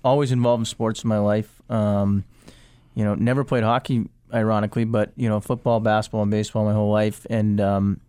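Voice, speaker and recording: A man's voice: fairly low pitch, flat delivery, brisk, warm tone, smooth, no echo, studio quality. A man from New Hampshire, a sports executive guest answering interview questions in an easygoing way with many you-knows. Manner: easygoing, fillers like you know. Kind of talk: radio interview.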